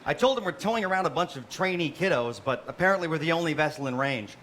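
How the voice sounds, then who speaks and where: A man's voice: fairly high pitch, expressive, fast talker, a bit bright. A man playing a middle-aged starship admiral, weary, casual and self-deprecating, in a comic stage reading. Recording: live stage reading, faint noise.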